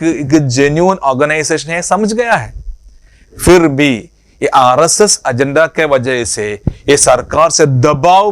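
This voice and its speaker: A man giving a public political speech as an organisation leader, in deliberate broken phrases with emphatic repetition and rhetorical questions. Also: a little high, no echo.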